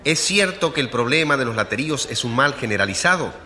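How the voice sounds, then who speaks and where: A man's voice: mid-range voice, expressive, fast talker, bright, forceful tone. A man acting an inquisitive radio reporter in a radio drama, broadcasting live from a courthouse story. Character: inquisitive male radio reporter covering a tense court case. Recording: reverberant hall sound.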